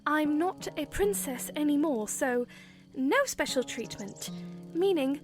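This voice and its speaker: A woman playing a gentle, earnest young princess in a fantasy audio drama, speaking softly and haltingly. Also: high voice, lively intonation, faint music behind. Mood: insistent. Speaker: voice actor.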